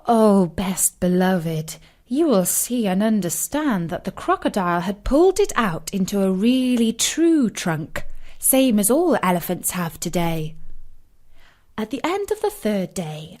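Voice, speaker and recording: A woman storyteller reading a scripted children's tale in an expressive, deliberate way with emphatic phrasing. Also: medium pitch.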